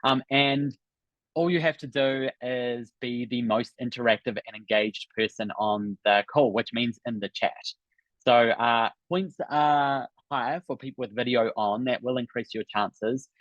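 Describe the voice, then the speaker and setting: A man's voice: mid-range voice, fairly even intonation, a little slow, a bit bright, dry recording, highs cut off. A man with a New Zealand accent, hosting a live online coaching summit in an upbeat, encouraging, chatty way. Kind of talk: live webinar talk to an audience. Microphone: video call.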